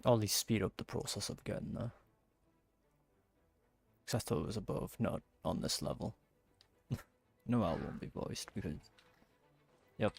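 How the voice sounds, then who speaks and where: Low, gravelly man commenting on a game. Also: moderate pace, pauses often. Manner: musing aloud to himself in short reactions. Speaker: gamer playing through a story quest. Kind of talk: casual game playthrough commentary.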